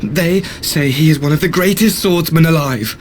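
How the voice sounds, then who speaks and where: An actor playing a timid low-ranking soldier with a hesitant, deferential manner in a video game cutscene. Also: male voice, moderate pace, slight background noise, lightly acted. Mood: nervous deference.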